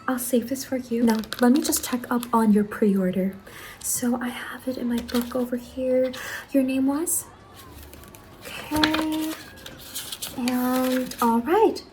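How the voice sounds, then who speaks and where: A woman plays an eager young saleswoman at a macabre fair stall in an ASMR roleplay. Her voice is gentle and a bit high, with hesitant, apologetic customer-service politeness. Some noise sits behind her. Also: natural voice, poor audio. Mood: humble, polite, then polite, businesslike.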